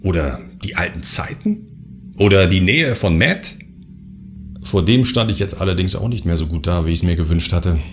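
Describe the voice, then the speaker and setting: A man's voice: low-pitched, animated, normal speed, faint music behind. A man playing a scheming, self-assured sect leader who narrates his thoughts dryly in a science fiction radio play. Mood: indignant, questioning.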